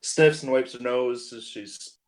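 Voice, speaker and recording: A man acting as game master, narrating a tabletop role-playing scene in a casual, loose, halting way. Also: medium pitch.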